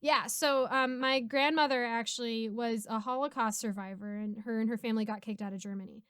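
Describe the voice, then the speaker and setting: A woman's voice: on the high side, steady tone, brisk, a bit bright, clean tone, no echo, clean studio sound. A giggly, chatty woman with an American accent, casually answering fan questions as host. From United States. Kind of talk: casual Q&A chat.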